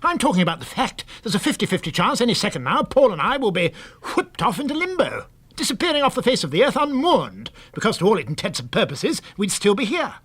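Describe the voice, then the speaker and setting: A middle-aged British husband in a radio play. His delivery is theatrical and articulate, with lively swings of pitch. He comes across as excitable and easily aggrieved. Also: brisk, husky, heavily acted. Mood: indignant, aggrieved. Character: excitable, aggrieved middle-aged husband turned wistful science-fiction duplicate. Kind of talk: radio drama acting.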